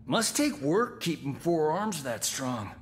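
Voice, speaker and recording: A man's voice: fairly high pitch, very animated, takes it slowly. A man playing a showy, wisecracking action fighter in a video game, glib and joking. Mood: cocky, joking. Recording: clear background noise.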